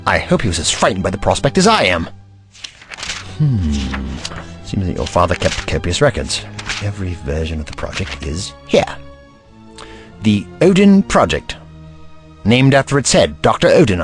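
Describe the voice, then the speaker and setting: A man acting an animated, commanding doctor hero. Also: low-pitched, normal speed, pauses often, soft background music. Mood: thoughtful. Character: urgent, protective male alien doctor and investigator. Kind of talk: audio drama acting.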